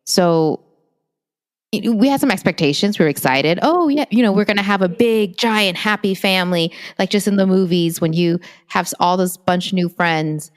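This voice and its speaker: A young woman with a low, smooth, resonant voice talks through old family memories in an easy, reflective manner. Her voice rings with a long reverb, as if from a hard-walled room. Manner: reflective, unhurried storytelling. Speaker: podcast co-host. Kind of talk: casual chat.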